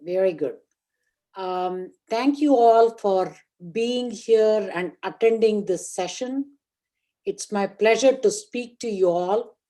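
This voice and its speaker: A woman in her sixties from India opens an online lecture as the guest professor. She speaks slowly and deliberately, placing each phrase with care, in a mid-pitched voice. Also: dark tone. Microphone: online video call.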